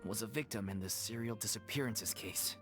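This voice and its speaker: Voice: mid-range voice, fairly quick, over music, lightly acted. A voice actor playing an anxious, apologetic member of a theater troupe in a game.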